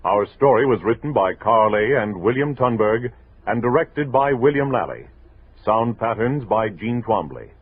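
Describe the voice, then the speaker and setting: A man's voice: on the low side, lively intonation, moderate pace, slight background noise. An American man announcing radio drama credits in a formal 1960s broadcast style. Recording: narrow-band, muffled old radio, music behind.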